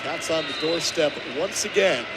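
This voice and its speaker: An American man in his twenties calling live football on radio as play-by-play announcer, energetic and vivid. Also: a little high, normal speed. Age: 20s. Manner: energetic, vivid, builds to excited shouts on big plays. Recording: outdoor stadium ambience, clear background noise. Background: stadium noise.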